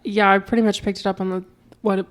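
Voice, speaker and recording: A woman co-hosting a podcast in casual chat, upbeat and quick to agree as she asks rapid-fire questions. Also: medium pitch, little pitch movement. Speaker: podcast co-host.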